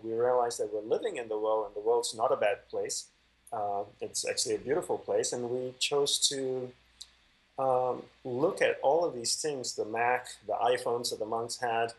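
A man from Malaysia, a podcast guest over a video call, answering questions in calm, measured storytelling. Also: mid-range voice, poor audio. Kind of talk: conversational interview answers.

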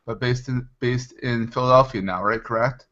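A man with a bright, punchy voice at a medium pitch hosts a casual interview show. He is chatty and informal and quick to poke fun at himself. The recording sounds slightly dull and lo-fi. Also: steady tone. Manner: informal, chatty, self-deprecating. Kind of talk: casual hosting with ad-libbed sponsor reads.

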